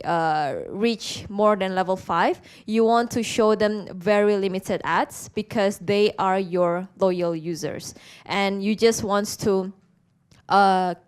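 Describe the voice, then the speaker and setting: A woman's voice: mid-range voice, clean tone. A woman from Indonesia with an Indonesian accent, speaking as a presenter to a conference audience in an interactive way.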